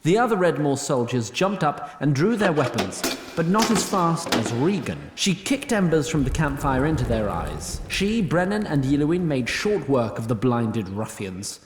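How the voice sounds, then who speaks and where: A man narrating a scripted fantasy story in a wry, measured way. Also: fairly high pitch, sing-song, roomy sound, slight background noise.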